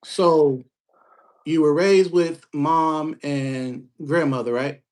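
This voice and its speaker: A man hosting a podcast, casually interviewing a guest with slangy, easygoing talk and frequent shout-outs. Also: fairly high pitch, fairly even intonation, slow. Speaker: podcast host.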